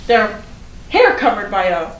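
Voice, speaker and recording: A woman's voice: mid-range voice, big pitch swings, a little slow. A woman playing a popular, defensive teenage schoolgirl in a staged play reading, with chatty, casual, hedging delivery. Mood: defensive, flustered. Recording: echoey room from far off, noisy.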